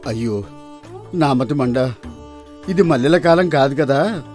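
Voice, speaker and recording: A man playing a kindly, anxious village farmer and father in an animated folktale, exclaiming and talking aloud to himself. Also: medium pitch, slow pace, soft background music, natural voice. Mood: worried, flustered. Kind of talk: dramatised animated folktale dialogue.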